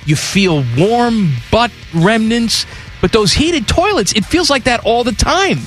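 A man's voice: extremely high pitch, lively intonation, measured pace, fairly bright tone, faint music behind. A man co-hosting a college football talk show, opinionated and sarcastic in casual banter. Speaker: sports talk co-host.

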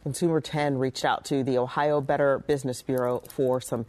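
Woman, local TV news anchor, steady scripted read. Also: very deep voice, moderate pace.

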